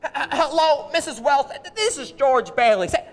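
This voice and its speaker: A man's voice: very high voice, moderate pace, in a large hall. A young man acting a small-town father at breaking point in a live radio play, stammering and repeating words. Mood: angry. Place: theater stage with audience. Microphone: vintage stand microphone.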